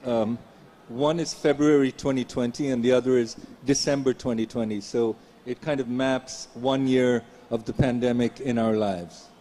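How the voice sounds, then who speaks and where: A man makes dry, wry remarks and then recites his ghazals in a deliberate, sardonic manner at a literary festival. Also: medium pitch, fairly even intonation, mellow, a little hiss or hum. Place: festival stage, audience present.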